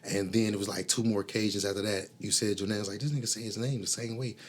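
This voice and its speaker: A man's voice: on the low side, steady tone, quick pace, a crowd in the background. A man hosting a casual conversation, recalling things in a rambling way full of fillers. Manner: rambling, filler-heavy recollection. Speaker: podcast host.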